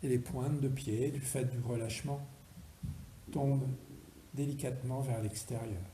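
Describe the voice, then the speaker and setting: A man with a deeply mellow, relaxed tone leads a yoga nidra session. He gives instructions slowly and deliberately, leaving space between phrases. The recording is noticeably roomy, with faint noise underneath. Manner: slow, deliberate, instructing with pauses. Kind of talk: guided relaxation meditation.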